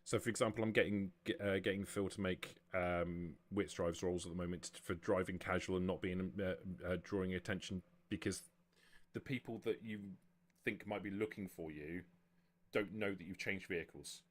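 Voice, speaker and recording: A man acting as game master at a tabletop roleplaying game, explaining and narrating in a halting, improvised way. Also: sits low, steady tone.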